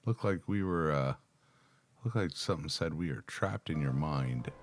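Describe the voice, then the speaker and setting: A man's voice: extremely low pitch, normal speed, slight background noise. A middle-aged American man reading text aloud and adding casual asides as he hosts a live reaction. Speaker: reaction video host. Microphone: close microphone on a boom arm, headphones on.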